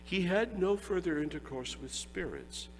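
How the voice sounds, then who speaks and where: A man's voice: high voice, animated, normal speed, big-room sound, faint music behind, poor audio. A man giving a dramatic storybook reading, relishing each phrase. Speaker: reader.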